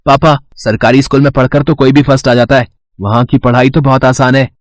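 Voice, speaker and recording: A spoiled, sneering rich schoolboy from a moral tale, acted out by a man. The voice is medium-pitched and dry, with a haughty manner. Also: little pitch movement, brisk, no room sound, natural voice. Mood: scornful. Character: boastful, snobbish rich schoolboy.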